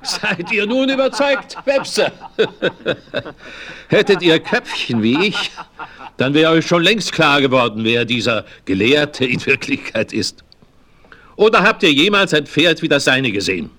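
A man's voice: fairly high pitch, big pitch swings, a little slow, sharp tone, heavily acted. A man playing a crafty, blustering old frontiersman with folksy talk and oaths in a western radio play. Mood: triumphant. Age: older.

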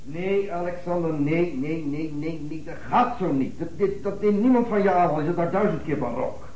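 An actor playing a fussy, excitable rehearsal coach who stammers and repeats himself in a Dutch radio play. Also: male voice, on the high side, normal speed, lightly acted. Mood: agitated, correcting. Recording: noisy, echoey, far-off tape playback.

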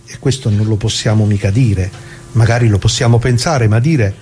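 A man's voice: lowish voice, moderate pace, mellow, lightly acted. An actor playing a plain-spoken left-wing worker who recalls the past in a colloquial theatrical monologue, putting questions to his listeners. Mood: wry, confiding. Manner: conversational, rhetorical questions to listeners. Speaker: narrator of radio drama.

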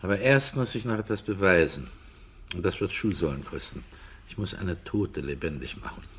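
An actor playing a brooding, sceptical investigator in a German radio crime drama, deliberate and terse in his delivery. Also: sits low, animated, breathy edge. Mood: sceptical, determined. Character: brooding, sceptical male investigator, calm and stubborn.

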